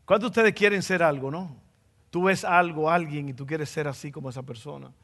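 A man's voice: a little high, brisk, fairly bright tone, big-room sound. A man with a Dominican accent preaching a sermon, playful and joking, often repeating phrases for emphasis. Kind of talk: sermon with jokes.

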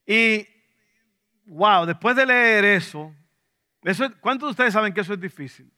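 A man's voice: high voice, lively intonation, moderate pace, pushed and bright. A man with a Dominican Spanish accent, preaching a sermon to a congregation, repeating key points and putting questions to them. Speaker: pastor.

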